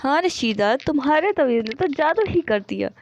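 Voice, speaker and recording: A woman plays a cartoon housewife in a family moral tale. Her voice is high, and the sound is slightly dull. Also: lively intonation, normal speed. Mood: gloating.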